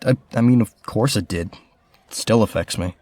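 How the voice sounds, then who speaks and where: A man acting a reserved, strait-laced rich high school student in a fan audio drama, polite, understated and hesitant. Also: moderate pace, a little mellow, no room sound, close to an everyday voice. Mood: guarded.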